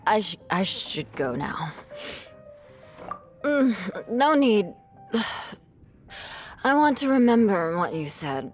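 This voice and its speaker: A proud young knight is tipsy, her speech slow and full of sighs and hesitations. Her voice rises and falls a lot. Soft game music plays behind her. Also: mid-range voice. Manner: slurred sighs, hesitant, softened. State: tipsy, drunk. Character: proud young female knight, drunk and mellowed. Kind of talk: game cutscene voice acting. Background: soft background game music.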